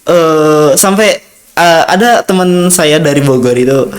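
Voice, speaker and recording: A man's voice: on the high side, unhurried. A young man with an Indonesian accent, a student guest telling his experiences in a casual interview, rambling with many fillers and acting out dialogue. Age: young adult.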